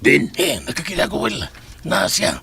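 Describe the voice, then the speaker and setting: A man playing a furtive lookout in a horror audio drama, gravelly and halting as he speaks. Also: medium pitch, wildly swooping pitch, music in the background, heavily acted. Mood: furtive, tense. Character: furtive lookout reporting on a target.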